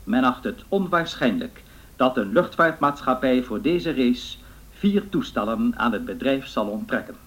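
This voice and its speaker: An actor playing a formal, measured 1930s radio newsreader reading scripted bulletins in a radio drama. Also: male voice, medium pitch, lively intonation, husky. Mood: formal, grave.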